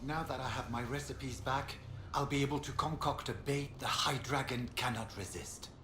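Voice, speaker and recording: An actor playing a courteous, eager scholar and researcher in a fantasy game. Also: male voice, medium pitch, normal speed, background noise, lightly acted. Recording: echoey, with music behind.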